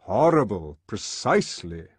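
A man's voice: lowish voice, very animated, measured pace, breathy edge, no echo, exaggerated voice. A man voicing a lofty, commanding male world ruler with an oratorical manner in an audiobook. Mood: emphatic. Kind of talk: audiobook character acting.

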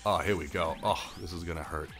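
A young man with a deep, low voice whose first language was Japanese. He rambles and jokes at his own expense in loose, casual commentary while he plays a game for his channel. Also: brisk, over music. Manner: rambling, self-mocking, chatty. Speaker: gaming channel host.

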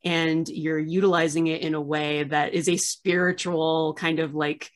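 A woman's voice: deep voice, little pitch movement, unhurried. A woman in her thirties hosting a video-call interview, gushing with enthusiasm and filling gaps with ums and likes. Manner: enthusiastic, gushing fan, many fillers. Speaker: host, archivist. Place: small room at home, video call.

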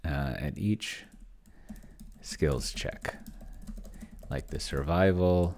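A middle-aged man giving casual think-aloud screencast commentary, halting and muttering as he types. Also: a bass voice, a little mellow, slight background noise. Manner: halting, mutters while typing. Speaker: host of a solo roleplaying experiment vlog. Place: small indoor room with bookshelves.

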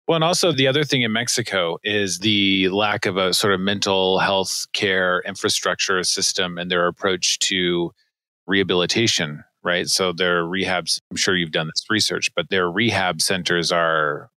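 A man with a low, bright and forceful voice hosts a casual podcast about law. He jokes and laughs his way through the chat, recorded dry on a close mic. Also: steady tone, moderate pace, no room sound. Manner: joking, laughs often, chatty. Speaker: podcast host, immigration attorney.

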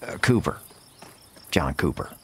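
Rugged cowboy gunslinger, low-voiced, laconic, wry, cool-headed, Western game. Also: male voice, lively intonation, a little slow, breathy edge, full character voice. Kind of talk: video game cutscene acting. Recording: music under the dialogue.

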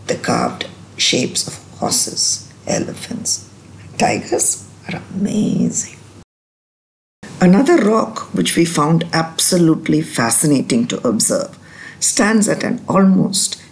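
Woman, travel narrator, very low voice, gravelly, lively pitch, faint hiss. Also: slow.

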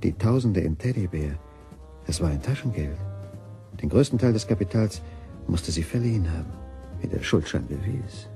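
Deep, gravelly brooding man. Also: lively intonation, moderate pace, warm tone, soft background music. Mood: brooding, reasoning. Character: brooding, bewildered man unravelling his lover's secret. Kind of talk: German radio crime drama acting.

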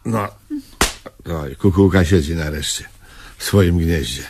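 An actor playing a cocky, lazy middle-aged handyman in a comedy radio play, grumbling bluntly with a gravelly, husky voice. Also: low voice, measured pace, darkish tone, a little hiss or hum. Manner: grumbling, blunt, self-important.

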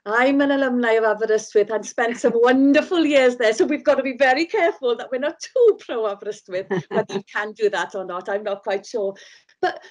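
A middle-aged woman hosting a video-call masterclass, lively and quick to laugh. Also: a little high. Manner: lively, bilingual, laughs easily. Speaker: host. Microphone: video call webcam.

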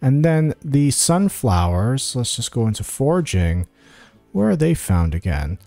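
A man with a soft, mellow, mid-range voice talks through a video game guide in a casual way. He thinks aloud and addresses the characters, with some music behind him. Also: mid-range voice, big pitch swings, measured pace, gravelly, no echo. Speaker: gaming guide host.

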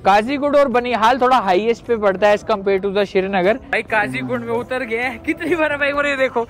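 A young man filming a travel vlog outdoors on a snowy train platform and inside a train, chatting excitedly with his viewers. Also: very high voice, fairly quick. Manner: excited, chatty, keeps calling viewers brother. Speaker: travel vlogger. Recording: background music mixed under the voice. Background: music.

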